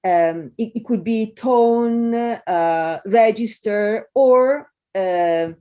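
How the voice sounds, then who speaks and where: A woman from Italy with an Italian accent, a scholar answering interview questions in a thoughtful way with frequent pauses and fillers. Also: a little high, mellow. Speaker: guest scholar.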